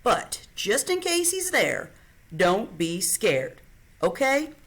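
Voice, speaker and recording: A woman voicing an earnest young girl in a storybook reading, high and pleading. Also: very animated, takes it slowly, fairly bright tone, exaggerated voice.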